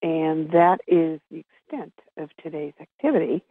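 A woman with a gravelly, husky voice giving an informal region-by-region report as a presenter on a conference call, over a narrow phone line. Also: low-pitched, normal speed. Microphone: telephone.

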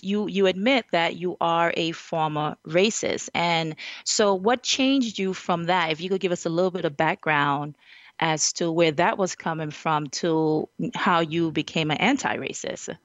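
A woman with a notably deep voice hosts an interview. She talks conversationally and earnestly, with a halting flow. The audio is dry and a little dull. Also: no room sound. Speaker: interviewer, show host.

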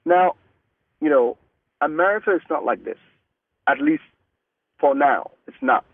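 A man's voice: on the high side, expressive, creaky, slightly rough, no room sound. A man from Cameroon, whose first language is Mungaka, talking earnestly as an interview guest and often restarting his sentences. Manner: earnest, halting with many restarts. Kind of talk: casual interview talk. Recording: dry, tinny phone-like line.

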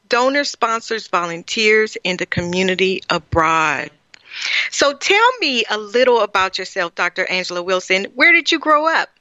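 A woman's voice: medium pitch, pushed and bright, dry recording. A woman hosting a radio interview, reading a scripted introduction and reacting with effusive praise. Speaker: radio show host. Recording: dull lo-fi, dry.

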